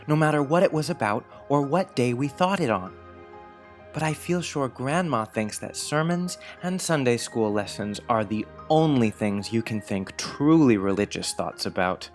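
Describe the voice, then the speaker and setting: An actor playing a serious, polite young schoolboy who speaks earnestly and deliberates aloud in a dramatised audiobook. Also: mid-range voice, close to an everyday voice.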